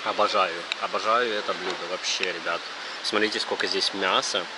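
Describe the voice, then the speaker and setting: A man in his thirties vlogging casually while he eats, talking in an informal, halting way with plenty of fillers. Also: low-pitched, fairly even intonation. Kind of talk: casual chat while eating. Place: large open restaurant, indoors. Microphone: action camera on the table at close range. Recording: loud restaurant ambience noise.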